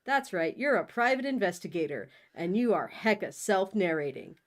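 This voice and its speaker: A woman's voice: medium pitch, expressive, moderate pace. A woman co-hosting a livestream, talking and reading aloud in a playful, informal way. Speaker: co-host of a book-reading livestream. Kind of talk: casual livestream chat and read-aloud.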